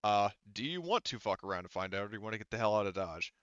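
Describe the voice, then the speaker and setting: Clear-voiced casual man running a game. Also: on the low side, expressive, rapid-fire, a bit bright, dry recording, dull audio. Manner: casual, profane, explanatory. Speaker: game master. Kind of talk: casual narration of a tabletop roleplaying game.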